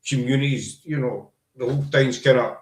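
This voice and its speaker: A man's voice: mid-range voice, fairly even intonation, moderate pace. A man from Glasgow with a Scottish accent, hosting a casual chat and talking in a chatty, rambling, emphatic way. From Scotland. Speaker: podcast host. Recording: roomy echo.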